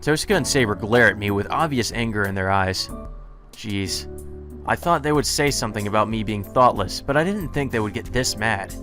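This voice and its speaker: A man's voice: lowish voice, lively intonation, a little fast, fairly bright tone. A man reading visual novel narration aloud as a let's player, casual and sweary, stumbling over words now and then. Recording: game music behind the voice.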